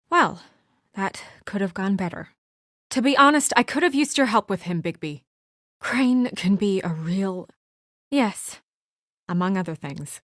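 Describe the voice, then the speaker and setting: An actress playing a brisk, exasperated, dutiful young professional woman in a video game, speaking in a crisp, earnest, businesslike way. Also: medium pitch, no echo, studio quality, natural voice. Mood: irritated.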